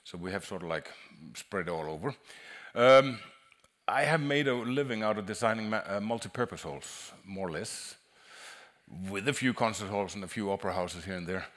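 A man's voice: lowish voice, fairly bright tone. Man presenting at a conference. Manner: halting, repeats words as he explains. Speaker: conference speaker, acoustic designer. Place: large conference hall on a stage.

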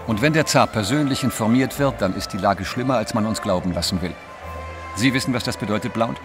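A man plays a proud, theatrical French newspaperman in a radio play. His voice is on the low side, emphatic and self-assured, with music clearly playing behind it. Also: a little fast, close to an everyday voice. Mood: dramatic, knowing. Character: confident, dramatic French journalist, flamboyant and proud. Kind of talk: German audio drama acting.

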